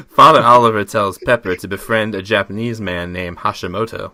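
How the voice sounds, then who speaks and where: A man's voice: fairly low pitch, steady tone, moderate pace, sharp tone, no room sound, studio quality. A man bantering casually as a podcast co-host, joking and often laughing. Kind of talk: casual banter and halting reading aloud.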